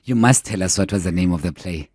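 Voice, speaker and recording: A man's voice: low voice, fast, a little mellow, slightly rough, no echo. A man hosting a radio interview in a studio, an engaged listener who keeps affirming his guest. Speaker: radio host.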